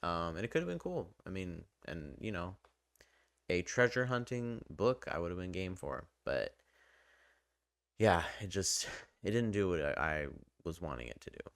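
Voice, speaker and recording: A man with a low-pitched voice gives an informal, unscripted review. He talks conversationally and haltingly, often breaking off for asides. The sound is dry, with very little room in it. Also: expressive, fairly bright tone, dry recording. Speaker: video game reviewer and channel host. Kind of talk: casual unscripted review talk to viewers.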